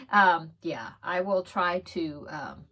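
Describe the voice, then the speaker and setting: A woman's voice: lowish voice, expressive, drawn-out words, pushed and bright. A woman hosting a crochet podcast, chatting casually and giggling between rambling asides, her nose stuffed up on one side. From Texas. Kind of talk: casual show-and-tell chat.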